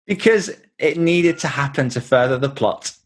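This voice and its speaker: A man's voice: mid-range voice, moderate pace. A man with a British accent in casual podcast chat, informal and jokey in the way he talks. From Britain. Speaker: podcast host and author, being interviewed on own show.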